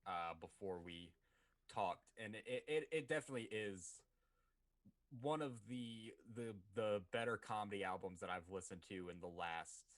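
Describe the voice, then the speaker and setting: A man's voice: on the low side, moderate pace, no echo. A man co-hosting a casual podcast, enthusiastic and repeating his words as he talks. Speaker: podcast co-host.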